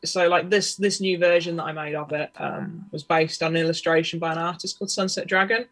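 Husky woman on a video call. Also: fairly low pitch, steady tone, fairly quick, fairly bright tone. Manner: easygoing, many fillers, self-conscious laughs. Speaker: guest cosplayer. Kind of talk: casual interview chat. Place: home room. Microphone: webcam mic on video call.

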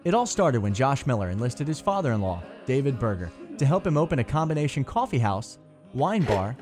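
A man's voice: medium pitch, very animated, fairly quick, a little mellow, faint music behind. A man giving scripted narration for a feature about a local cafe.

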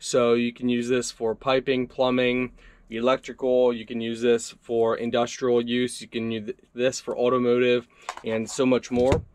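A man's voice: mid-range voice, moderate pace, no echo. A young man giving a casual product review at his desk, walking through the features conversationally with a few fillers. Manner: relaxed, conversational walkthrough with fillers. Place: indoor desk workspace.

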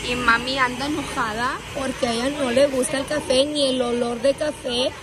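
A woman's voice: sits high, steady tone, moderate pace, background noise. A young woman chatting casually to a phone at arm's length, playful and teasing, dropping English words into her talk. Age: young adult. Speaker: vlogger. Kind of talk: casual family vlog chat. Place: malls, restaurant and street. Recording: busy public spaces, music and crowd behind.

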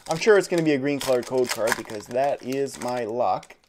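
A man in his thirties hosting a live card-opening stream indoors, talking in a low-key, dry way with mumbled asides. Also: a little fast, noisy background. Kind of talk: casual live-stream chat. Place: indoor room full of card products.

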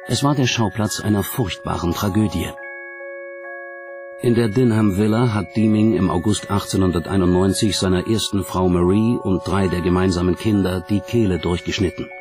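A man's voice: deep voice, moderate pace, over music. A man reading scripted documentary narration as the narrator.

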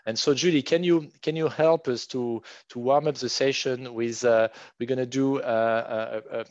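A man in his forties with a strong French accent, moderating an online workshop over a headset on a video call, halting with many fillers and restarts. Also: medium pitch, fairly even intonation, no echo. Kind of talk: online meeting facilitation. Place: home room, virtual background. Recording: dull, dry video call audio.

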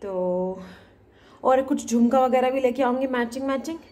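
A woman's voice: high-pitched, moderate pace, a little mellow. A woman in her thirties vlogging casually on a phone held at arm's length, mixing English words into her Hindi. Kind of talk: casual vlog narration. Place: outdoors by a garden, then at home, then in a busy shop.

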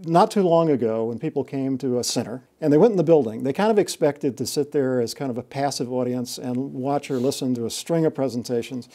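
A man's voice: medium pitch, a little fast, hardly any pauses, mellow. An older man interviewing a corporate guest in a courteous, chatty way.

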